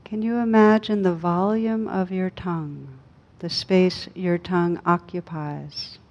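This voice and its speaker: A woman leading a guided meditation as a teacher, speaking calmly and unhurriedly with long pauses. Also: on the low side. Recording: dull, lo-fi recording.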